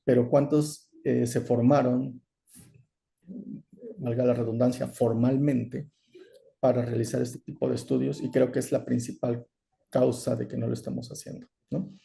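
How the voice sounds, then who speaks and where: A man giving an online lecture over a video call, explaining in a measured way with frequent "eh" and "¿no?". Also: mid-range voice, flat delivery, dark tone. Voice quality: gravelly. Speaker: course lecturer.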